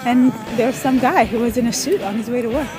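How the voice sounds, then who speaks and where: A woman in her thirties from Ethiopia, telling stories in a casual interview with thoughtful pauses and soft laughs. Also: fairly high pitch, over music. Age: 30s. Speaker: interviewee, pickup soccer player.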